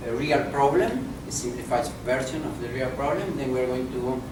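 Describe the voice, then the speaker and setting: A male professor lectures at a conference, his coloring every word. He speaks in a deliberate, halting way, often pausing on fillers. The mic is far off in a roomy, echoing space with a steady noisy hum underneath. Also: medium pitch. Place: conference room. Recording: echoey room from far off, noisy.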